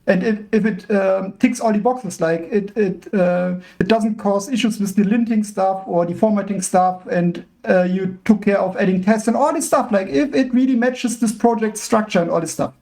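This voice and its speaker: Guest voice: man, raised pitch with gravel in it, measured and searching for words. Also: darkish tone. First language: German. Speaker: guest, open-source developer. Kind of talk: casual podcast conversation.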